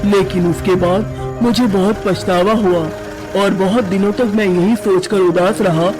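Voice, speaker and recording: A man's voice: sits high, steady tone, unhurried. A man playing a kind-hearted, easily frightened driver in a horror story, speaking in an earnest, pleading way. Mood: remorseful pleading. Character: kind, terrified male driver pleading for his life. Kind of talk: dramatized Hindi horror story. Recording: loud music bed behind voice.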